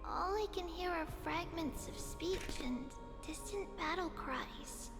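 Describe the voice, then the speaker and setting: Tiny, very high-voiced girl seer. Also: heavily acted. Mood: solemn, uncertain. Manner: slow, halting, wondering delivery. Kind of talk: dramatic video game voice acting. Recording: long reverb under game music.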